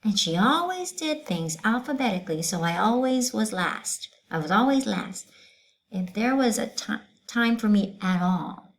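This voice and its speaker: A long-suffering schoolteacher from a children's storybook, played by a woman reading aloud. She is aggrieved and emphatic, pushing her voice well up high as she complains. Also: lively intonation, unhurried, poor audio. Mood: hurt, aggrieved.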